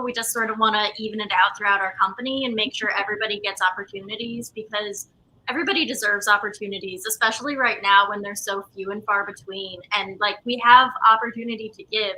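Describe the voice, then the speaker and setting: A woman's voice: medium pitch, sharp tone, a little hiss or hum, low-quality recording. A woman in her twenties speaking casually and conversationally as an interview guest. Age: 20s. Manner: informal, conversational. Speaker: theater company co-founder, interview guest.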